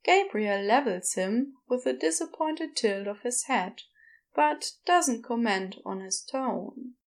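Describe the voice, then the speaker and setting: Unhurried, higher-pitched woman narrator. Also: lively intonation. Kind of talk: scripted fiction narration.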